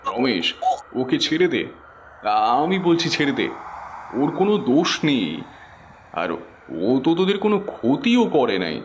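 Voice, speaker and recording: A man's voice: a little high, big pitch swings, moderate pace, pauses often, slight background noise. A man playing a kindly village snack-seller in a horror cartoon, speaking in an earnest, emotive way. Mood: urgent pleading. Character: kindly, pleading village snack-stall uncle. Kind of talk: cartoon horror story acting.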